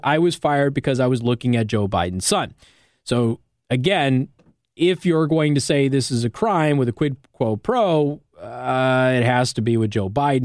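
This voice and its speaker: A man's voice: medium pitch, a little mellow, no room sound, clean studio sound. An American man hosting talk radio, delivering an opinionated monologue with emphatic stress on key words. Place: radio studio.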